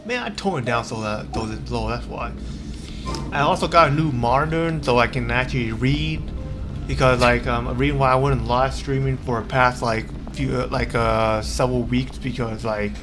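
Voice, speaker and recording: Casting: male livestreamer, casual gameplay chatter, average pitch, halting and repetitive, clear music underneath. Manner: halting, repetitive, rambling delivery. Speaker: game livestreamer. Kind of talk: casual livestream chat while playing. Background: game music.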